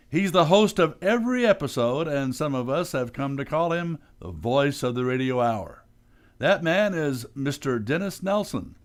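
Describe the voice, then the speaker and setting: A man, an actor, giving a formal live introduction on air in a ceremonious, presentational way. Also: on the high side. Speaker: stage actor introducing a colleague.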